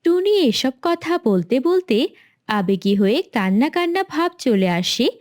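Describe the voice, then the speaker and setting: A woman's voice: on the high side, sing-song, measured pace, darkish tone. A woman narrating a scripted children's story as its storyteller.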